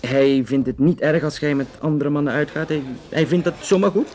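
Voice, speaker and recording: Acteur als hoffelijke Vlaamse rechercheur in een hoorspel. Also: male voice, on the high side, a little fast, darkish tone, lightly acted. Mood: hesitant, flirtatious. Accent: Flemish. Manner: hesitant, dryly joking, conversational. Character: Flemish male police detective from Antwerp, courteous, flirtatious. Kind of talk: naturalistic Dutch-language radio drama.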